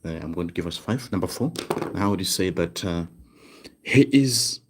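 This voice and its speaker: A man teaching German online, slowly repeating each phrase word by word and checking in with "right?". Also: sits low, expressive, noisy background. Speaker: German tutor. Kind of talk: online language lesson, writing on cards. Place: desk indoors.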